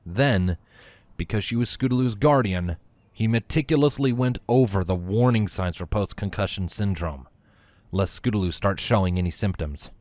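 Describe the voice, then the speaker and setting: Low-voiced man narrating a story. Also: normal speed, darkish tone, no echo.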